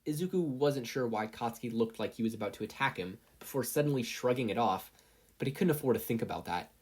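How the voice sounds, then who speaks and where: Audiobook-style narrator: medium pitch, husky texture, animated scripted storytelling. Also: fast talker. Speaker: podfic narrator.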